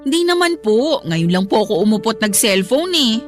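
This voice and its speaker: High-voiced, aggrieved young Filipina daughter-in-law. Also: female voice, big pitch swings, normal speed, soft background music. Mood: aggrieved, defiant. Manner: polite but plaintive and defiant. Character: aggrieved young live-in daughter-in-law, politely talking back. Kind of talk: Filipino radio drama acting.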